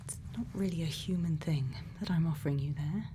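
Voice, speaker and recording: A woman doing an ASMR roleplay as a gentle scientist, speaking in a breathy whisper and soft murmur, slow and deliberate with long pauses. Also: sits low, noisy background. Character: gentle scientist questioning a robot friend. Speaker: ASMR performer.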